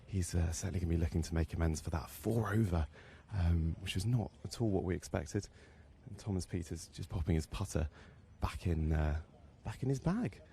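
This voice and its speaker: Hushed, gravelly low-voiced man reporting golf. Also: brisk, hardly any pauses, a little hiss or hum. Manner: hushed, talking quietly on the course. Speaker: on-course reporter. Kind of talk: live walk-along golf commentary. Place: outdoors on a golf course.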